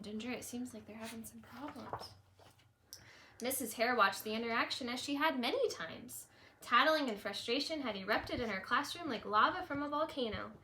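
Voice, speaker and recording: A woman reading a storybook aloud for children, with chatty, simple asides and wondering out loud. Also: a little high, a little hiss or hum. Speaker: storybook reader, teacher.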